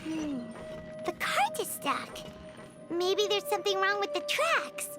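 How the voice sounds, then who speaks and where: A woman voicing a tiny, chirpy, opinionated fairy girl companion in a video game, squeaky and childlike. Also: sing-song, slow, loud music behind the voice, exaggerated voice. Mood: curious, helpful. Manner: refers to herself in third person, emphatic.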